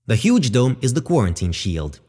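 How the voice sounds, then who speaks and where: A man voicing a calm, formal and exact spaceship computer in a sci-fi audio drama. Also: mid-range voice, moderate pace, husky, big-room sound. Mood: composed. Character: calm precise male spaceship artificial intelligence.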